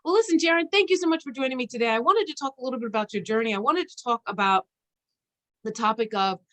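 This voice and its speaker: A woman hosting a conversational interview, chatty and halting as she searches for her words. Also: fairly high pitch, a bit bright. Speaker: interview host. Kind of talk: conversational interview with an informal guest introduction.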